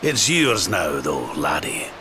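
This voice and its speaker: An actor playing a kindly older adventurer, a wise mentor in a video game. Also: male voice, extremely low pitch, sing-song, slow pace, noisy background, full character voice. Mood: thoughtful. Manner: coaxing, avuncular.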